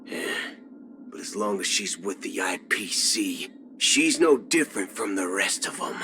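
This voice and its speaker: A man's voice: sits low, animated, unhurried, bright, forceful tone, slight background noise, exaggerated voice. A man playing a cocky cyborg cowboy outlaw in a video game, with a swaggering, folksy drawl. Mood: musing, wry. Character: cocky cyborg cowboy gunslinger outlaw, a male galaxy ranger. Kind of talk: video game character voice acting. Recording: music behind the voice. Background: music.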